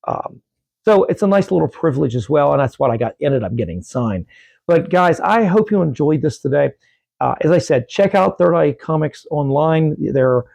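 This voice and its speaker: A man's voice: fairly high pitch, gravelly, warm tone, no room sound. A middle-aged man talking casually to his viewers about comics, rambling and correcting himself as he goes, while losing his voice. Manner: rambling, self-correcting, friendly sign-offs. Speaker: comic book collecting YouTuber. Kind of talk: casual vlog talk to camera.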